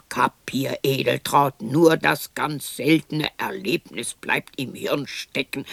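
An actress voicing a gruff, plain-spoken husband who lectures his wife slowly and insistently in a radio drama. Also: rumbling low, dry recording, exaggerated voice.

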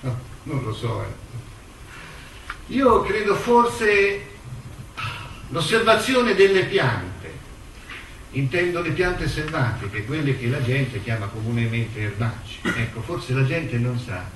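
An actor playing a condemned intellectual, tender and reflective, declaiming his monologue with measured phrasing and dramatic pauses. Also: mid-range voice, very animated, fairly bright tone, heavily acted. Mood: quiet, reflective. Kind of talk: theatrical stage monologue, declaimed poetry. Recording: roomy, distant stage recording with faint noise.

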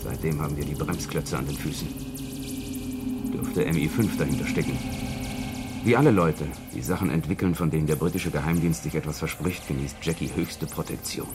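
An actor playing a matter-of-fact secret service investigator briefing a colleague in a radio play, in a dry, clipped manner. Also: male voice, rumbling low, a little fast, over music. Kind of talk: 1970s German radio play dialogue.

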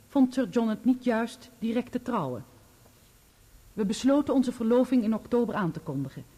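A composed, loyal woman on the witness stand as an actress plays her. Her voice is soft-edged and mellow, and she answers in measured, formal sentences, in radio drama style. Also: mid-range voice, natural voice. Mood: earnest, calm. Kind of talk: Dutch radio play acting.

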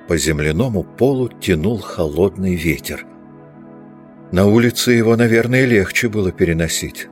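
A man reading scripted literary narration as the narrator of an audiobook. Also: deep voice.

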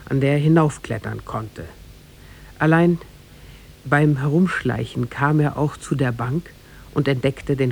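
A male narrator tells a tale in formal, literary phrasing. His pitch sits in the middle and his delivery is measured, in the manner of a 1950s radio play. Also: gravelly, a little hiss or hum. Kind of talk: scripted storytelling narration, 1950s German radio drama.

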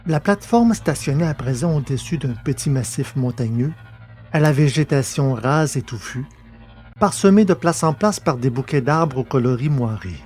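A man reading scripted science fiction narration in a measured, literary way. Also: mid-range voice, animated, darkish tone. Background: music.

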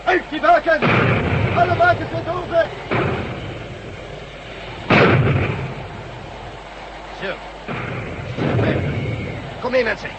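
A man's voice: lively intonation, unhurried, muffled recording. A man playing a commanding, sardonic resistance chief in a science fiction radio drama. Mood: urgent shouting. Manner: sardonic, barking orders. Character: commanding male resistance chief, dry and decisive. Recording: noisy radio play recording with vehicle rumble.

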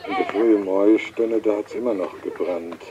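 An actor playing an earnest local man who explains things in simple, deliberate phrases in a naturalistic radio play. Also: lowish voice, steady tone, noisy background, natural voice.

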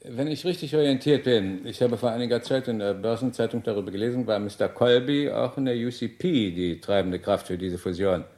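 A man's voice: mid-range voice, brisk, few pauses, darkish tone, close to an everyday voice. A man playing a courteous but insistent police inspector in a German radio crime drama, questioning in a clipped, authoritative way. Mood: measured, probing.

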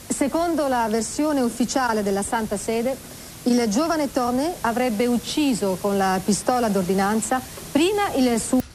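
A woman's voice: fairly high pitch, a little mellow, a little hiss or hum, rough recording. A woman narrating a scripted radio drama in a formal documentary manner. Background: music.

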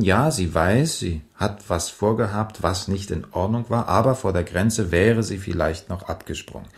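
A very low-pitched male investigator in a radio crime drama. He reports dryly and colloquially, methodical and sober in his delivery. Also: darkish tone. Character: male police investigator, matter-of-fact and methodical.

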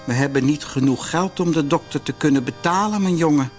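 A man voicing a gentle, ailing widowed mother in a storybook, weak, sighing and tender. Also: a little high, brisk, faint music behind, voice pushed up, close to an everyday voice. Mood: weary, reassuring. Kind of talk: storybook character voice.

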